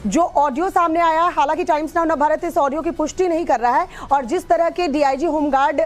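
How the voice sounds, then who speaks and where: A woman's voice: high voice, brisk. A woman anchoring live television news, presenting and putting questions to a reporter. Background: music.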